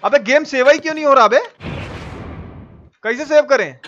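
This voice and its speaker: High-pitched Bihari-accented man streaming a game. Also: normal speed, fairly bright tone, highs cut off, slight background noise. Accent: eastern Hindi belt, Bihari-style. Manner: colloquial, exclamatory, slangy. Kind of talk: live gameplay commentary, casual chat.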